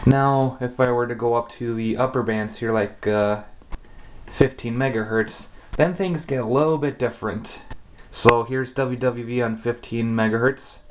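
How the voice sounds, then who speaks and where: A man's voice: medium pitch, steady tone, normal speed. Casual man, noisy homemade demo recording. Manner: informal, thinking aloud. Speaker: amateur shortwave radio hobbyist and presenter. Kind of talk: casual hands-on demonstration vlog. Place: indoor room with radio equipment. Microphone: camera's built-in mic. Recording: noisy cheap camera mic, radio static. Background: shortwave radio hiss and clicks.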